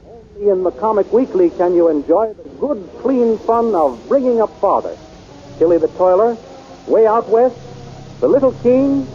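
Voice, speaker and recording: A man narrating an old radio adventure serial and reading its sponsor copy in a dramatic, brisk announcing manner, on a muffled old radio transcription. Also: high voice.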